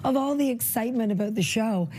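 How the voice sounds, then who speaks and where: Hoarse woman co-hosting morning news. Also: fairly high pitch, quick pace, dark tone, slight background noise. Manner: chatty, upbeat. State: hoarse, recovering lost voice. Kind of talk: casual on-air banter. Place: TV studio.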